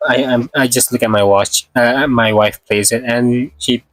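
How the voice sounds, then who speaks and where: A man's voice: medium pitch, fairly even intonation, a little slow, no room sound. A man giving casual, rambling live commentary as he streams a game, repeating himself and swearing mildly, with a slightly sore throat.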